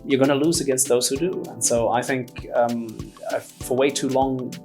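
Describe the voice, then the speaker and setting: A man's voice: mid-range voice, fairly even intonation, moderate pace, a little mellow, soft background music. A man who grew up in Germany and Finland, speaking as an articulate interview guest who explains things conversationally and with emphatic repetition. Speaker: podcast guest, entrepreneur.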